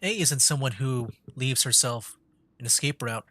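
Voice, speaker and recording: A man's voice: mid-range voice, normal speed, noisy background. A man streaming a video game with casual live commentary, musing and often trailing off mid-thought. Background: game music and sound.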